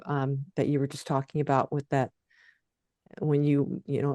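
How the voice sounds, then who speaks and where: A woman's voice: deep voice, darkish tone, no echo, clean studio sound. A woman co-hosting a podcast, talking and asking questions in a conversational interview style. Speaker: co-host, interviewer.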